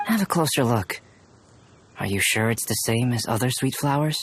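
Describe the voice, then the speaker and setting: A man playing a calm, scholarly young male alchemist in a video game, speaking in a measured, formal, precise way. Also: medium pitch, background noise, lightly acted. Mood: calm, assured. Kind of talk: video game character acting.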